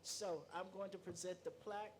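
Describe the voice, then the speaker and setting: A woman on a city council reads a memorial resolution aloud in a slow, measured way, then adds informal remarks of her own. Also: fairly low pitch, fairly even intonation, a little mellow, a little hiss or hum.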